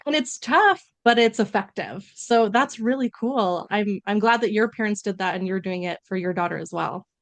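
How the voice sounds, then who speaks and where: A woman's voice: mid-range voice, normal speed, hardly any pauses, no echo. A woman hosting a casual interview, upbeat and gushing as she responds to her guest. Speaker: podcast host.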